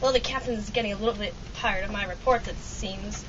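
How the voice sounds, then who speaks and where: A woman's voice: medium pitch, fairly quick, natural voice. A woman playing a perky, keen airship reporter in a comic radio drama, chatty and chirpy. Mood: enthusiastic. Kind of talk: comic old-time radio acting. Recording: muffled, distant recording with hiss.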